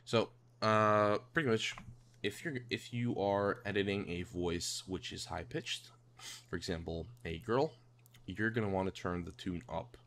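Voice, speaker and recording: A man narrating a casual software tutorial in a hesitant, rambling way, repeating himself. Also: sits low, unhurried. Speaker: tutorial presenter. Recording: home mic with clear background noise.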